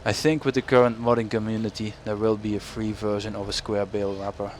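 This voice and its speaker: A man's voice: fairly low pitch, flat delivery, quick pace. A young man with a Dutch accent giving rambling, self-correcting commentary over farming game footage, chuckling now and then. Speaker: gaming YouTuber. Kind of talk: casual gameplay commentary. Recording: game engine noise behind voice. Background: farm machinery and ambient game sounds.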